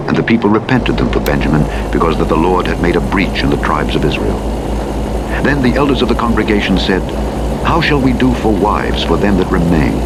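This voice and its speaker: A man narrating scripture from a script in a solemn, measured, formal delivery. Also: deep voice. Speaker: audiobook Bible narrator. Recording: loud vehicle engine noise throughout. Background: truck driving simulator game sounds.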